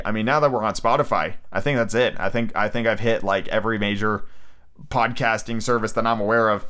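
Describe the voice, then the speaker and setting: A man's voice: lowish voice, fairly bright tone, clean tone. A man hosting his own podcast in a casual, rambling solo chat, full of fillers and restarts. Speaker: podcast host.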